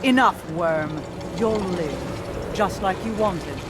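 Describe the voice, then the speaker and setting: A woman playing a commanding, solemn queen in a video game, with a formal, measured way of speaking. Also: on the low side, animated, very noisy. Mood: cold, menacing. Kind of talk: dramatic game voice acting. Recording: loud music over voice.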